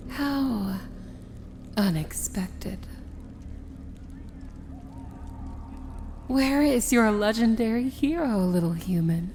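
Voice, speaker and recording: A woman's voice: mid-range voice, a little mellow, slight background noise. A woman playing an imperious, amused princess of evil in a fantasy ASMR roleplay, speaking in a theatrical, sardonic manner. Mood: mocking, incredulous. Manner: slow, theatrical, grandly sardonic.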